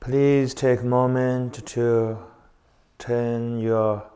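A man leading a guided meditation in short, contemplative phrases with long pauses between them. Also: medium pitch, little pitch movement, warm tone. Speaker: meditation teacher. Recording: long reverberant hall echo.

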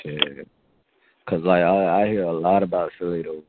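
A man from Miami telling a rambling, profane story in casual chat, with a halting manner. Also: low voice, steady tone, background noise. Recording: phone-like band-limited audio.

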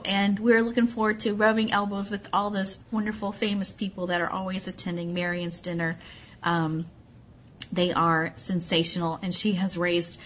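A woman's voice: mid-range voice, a bit bright. Chatty woman hosting a radio show. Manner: chatty, digressive, self-correcting. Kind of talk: casual announcements to listeners.

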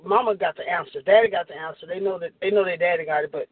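A woman caller with a deep, masculine-sounding voice, talking candidly about her family life. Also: fast talker, husky, dry recording. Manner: candid, personal storytelling. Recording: dry tinny phone line.

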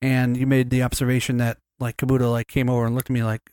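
A man's voice: medium pitch, monotone, fast talker, darkish tone, clean tone, no echo, studio quality. A man co-hosting a podcast, chatting casually in a rambling way, swearing freely and restarting his sentences. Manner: rambling, profane, many restarts. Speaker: podcast co-host. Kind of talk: casual chat.

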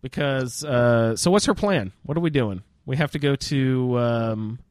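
A man's voice: mid-range voice, a little slow, a little mellow, clear, resonant tone, no echo, studio quality. A man hosting a casual, informal roundtable discussion about a video game. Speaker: podcast host.

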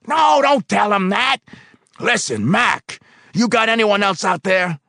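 A man voicing a gruff, hot-tempered middle-aged paint-plant foreman who yells and blusters in exasperation, in an audiobook reading. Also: on the high side, lively intonation, fairly quick, dry recording, clean studio sound, voice pushed up. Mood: shouting.

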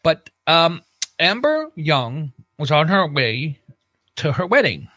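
A man co-hosting a casual comedy show, haltingly reading out the news and repeating himself. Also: fairly high pitch, big pitch swings, sharp tone, no echo.

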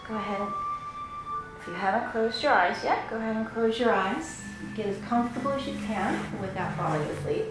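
A woman leading a guided meditation in a soothing, unhurried manner, pausing between phrases. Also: a little high. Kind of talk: guided meditation, slow instruction. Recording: very echoey room with music. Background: meditation music.

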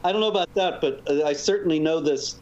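A man, a guest author, explaining points in a measured way during a talk show interview. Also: on the high side, darkish tone. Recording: dull lo-fi audio with faint noise.

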